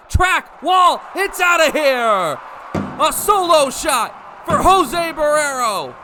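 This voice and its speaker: A young American man calling baseball play-by-play for radio, with energetic, vivid running commentary. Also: very high-pitched. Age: young adult. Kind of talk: live radio sports commentary. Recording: live broadcast with ballpark background noise. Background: stadium ambience.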